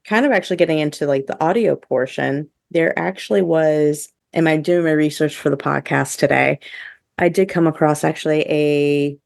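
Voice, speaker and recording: A woman's voice: low voice, normal speed, vocal fry, a little mellow, no room sound, clean studio sound. A woman co-hosting a podcast in a casual, chatty and enthusiastic way, peppering her talk with "like". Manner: chatty, enthusiastic, heavy use of "like". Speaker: podcast co-host. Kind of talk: casual podcast review chat.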